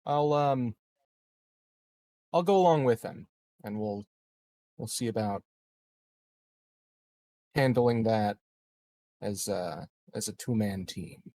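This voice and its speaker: A man playing a tabletop game, casually planning out loud in halting fragments. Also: lowish voice. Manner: halting, thinks aloud in fragments. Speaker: player in a tabletop roleplaying game.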